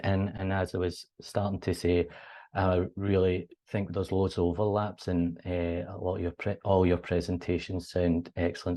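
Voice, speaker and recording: Scottish man, young, low pitch, mellow tone, earnest academic talk, video call. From Scotland. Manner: friendly, earnest, with casual fillers. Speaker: PhD student presenter. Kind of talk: academic conference presentation.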